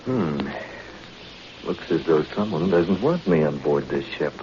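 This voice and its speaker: Wry reporter hero, muffled old radio. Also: male voice, very deep voice, expressive, measured pace. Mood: wary. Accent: American. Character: confident wry male newspaper reporter turned undercover adventurer. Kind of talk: 1940s radio drama acting. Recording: dull, noisy old radio recording.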